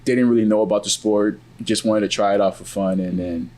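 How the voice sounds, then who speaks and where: Young man, lowish voice, relaxed, casual interview host. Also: fairly quick. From West Africa. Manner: relaxed, laid-back, loose phrasing. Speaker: podcast host.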